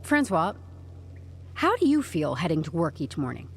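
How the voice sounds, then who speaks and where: An actress playing a composed, stubborn HR newcomer in an audio drama, speaking with deadpan corporate politeness and wry candour. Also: female voice, deep voice, moderate pace, creaky, full character voice. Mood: brisk, official. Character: composed, stubborn young HR newcomer turning interrogation into interview.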